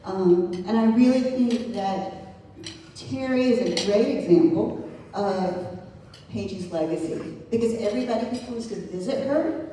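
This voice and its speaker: A woman's voice: medium pitch, measured pace, mellow. A woman presenting contributors to a live audience, speaking hesitantly with many fillers as she reads from notes. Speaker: event organizer presenting contributors. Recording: echoey hall, distant mic, faint noise.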